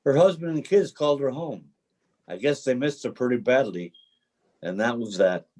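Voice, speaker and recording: A man's voice: mid-range voice, lively intonation, moderate pace, darkish tone, natural voice. A man playing a homeless drifter in a play reading, a cynical, bruised romantic who tells his story in a folksy, dramatic way. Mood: bitter, heartbroken. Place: remote video call reading.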